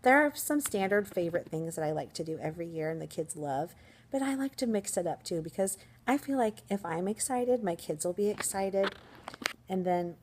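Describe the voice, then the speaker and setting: Chatty woman teacher, upbeat and slightly hoarse. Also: lowish voice, animated, moderate pace, darkish tone. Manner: chatty, upbeat, rambling with fillers. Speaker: first grade teacher, vlogger. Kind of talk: casual vlog chat to camera.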